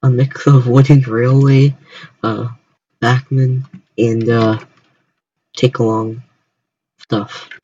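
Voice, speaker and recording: A man's voice: medium pitch, little pitch movement, mellow, breathy edge. Young american guy chatting on stream, keeps restarting sentences, kinda dull lo-fi mic. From United States. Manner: halting, repetitive starts. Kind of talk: casual chat on a livestream.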